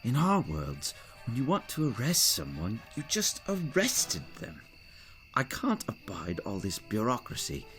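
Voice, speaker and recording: Man as courtly director, pompous, formal, theatrical swings in pitch, faint music behind. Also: huge pitch swings, a little slow, fairly bright tone. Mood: irritated, brisk. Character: courtly, officious old-fashioned male director, easily exasperated. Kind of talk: audio drama acting.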